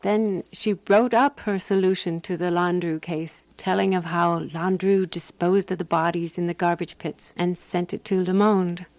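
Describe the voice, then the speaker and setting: A woman actor playing a composed, wry woman who narrates a radio drama in a measured, dry manner. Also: fairly low pitch, fairly even intonation, a little mellow, no echo, lightly acted, poor audio.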